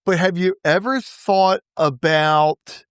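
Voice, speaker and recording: Laid-back guy host, pretty high voice, laughs a lot, dry sound. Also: steady tone, slow pace, smooth, no echo. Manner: easygoing, laughs often, rambling restarts. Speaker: podcast host. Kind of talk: casual interview chat.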